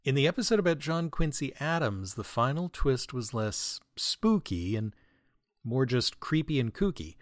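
Deadpan man with lively, swooping delivery. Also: mid-range voice, normal speed, no room sound, studio quality. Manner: deadpan, mock-grandiose, florid wordy delivery. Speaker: podcast host, writer and audio editor. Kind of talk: wry comedic monologue, answering listener mail.

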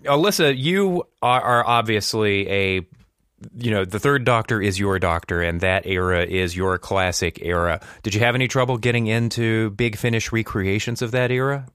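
A man with a fairly low voice hosts a podcast discussion in a dry, echo-free recording. He phrases his thoughts in a measured, deliberate way while keeping it casual. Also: fairly bright tone, clear, resonant tone. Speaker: podcast host.

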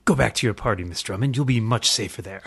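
A man's voice: fairly low pitch, quick pace, vocal fry, husky. A man playing a suave, pompous playboy who is secretly a mysterious crimefighter, in theatrical old-time radio parody style. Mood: grandly mysterious. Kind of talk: parody of 1940s radio drama.

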